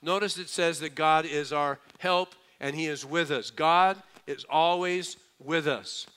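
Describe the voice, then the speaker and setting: Bright, crisp-voiced male preacher. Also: fairly high pitch. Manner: lively preaching, mimics skeptics, slows for emphasis. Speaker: pastor. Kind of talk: sermon.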